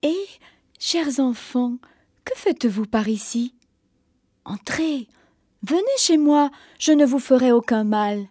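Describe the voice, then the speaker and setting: Wicked old witch, high and swooping, slow and drawn out, cackling, hissing whispers, storybook. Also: expressive, dark tone, no room sound, clean studio sound, heavily acted. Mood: honeyed. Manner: cackling, honeyed then snarling, hissing whispers. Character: wicked old female witch, greedy, cruel, child-eating. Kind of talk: storybook reading.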